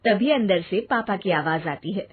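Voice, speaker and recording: A woman's voice: medium pitch, expressive, normal speed, slight background noise. A woman narrates a scripted story as its storyteller. Her voice comes through a muffled, narrow, phone-like recording.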